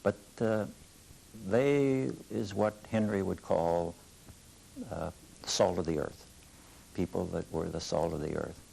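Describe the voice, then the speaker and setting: A man's voice: deep voice, fairly even intonation, slow pace, gravelly, long pauses, dark tone, poor audio. An older man, casually reminiscing as an interviewee. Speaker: interviewee recalling the past. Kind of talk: casual oral-history reminiscence. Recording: faint tape hiss.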